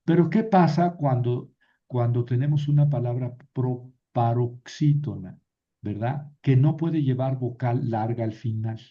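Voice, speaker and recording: Man, grammar teacher, steady mid voice, asks and answers his own questions; muffled recording. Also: mid-range voice, a little slow. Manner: patient, explains step by step and repeats key rules. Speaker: Greek teacher. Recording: dull lo-fi sound.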